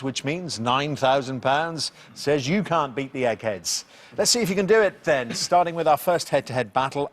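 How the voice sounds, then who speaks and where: A man hosting a television quiz show, reading the scripted intro and questions clearly and bantering with the contestants. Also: mid-range voice. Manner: jovial, reads questions deliberately, chuckles at his own puns.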